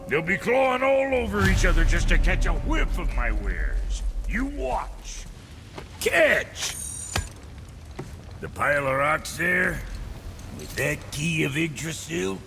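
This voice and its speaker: An actor playing a brash, cantankerous dwarf shopkeeper in a video game, gravelly and boastful with folksy delivery. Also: male voice, fairly high pitch, heavily acted. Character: brash, foul-mouthed male dwarf blacksmith shopkeeper. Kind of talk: comic video game character acting.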